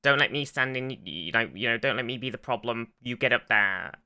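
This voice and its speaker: A man with a very bright, forward voice and crisp tone talks casually as a podcast co-host. He circles around his points, hesitating and rephrasing as he explains. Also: mid-range voice, a little fast. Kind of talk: casual pop-culture discussion.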